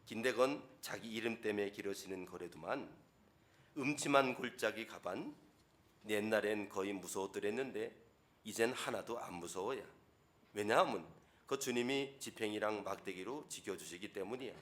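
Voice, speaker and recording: A man's voice: lowish voice, moderate pace, fairly bright tone, lightly acted. A man reading a psalm as a folksy North Korean speaker with a Pyongan dialect accent, emphatic and plain-spoken. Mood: hearty.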